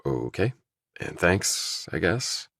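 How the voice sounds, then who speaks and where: A man's voice: low voice, big pitch swings, slow, vocal fry, a bit bright, no echo, clean studio sound, exaggerated voice. A man voicing a guarded, terse ex-soldier working undercover, in understated audiobook dialogue.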